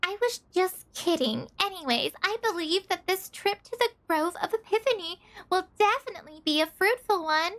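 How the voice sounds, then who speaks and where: A woman's voice: very high-pitched, animated, moderate pace, hardly any pauses, a bit bright. A woman voicing a tiny, cute and curious childlike mascot creature in an anime game. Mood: playful.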